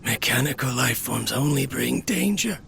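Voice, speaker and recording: A man playing a desperate, remorseful researcher in a video game, with a strained, halting, weakened delivery. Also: mid-range voice, expressive, vocal fry, a little hiss or hum. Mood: weak, regretful. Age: adult. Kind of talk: dramatic video game voice acting.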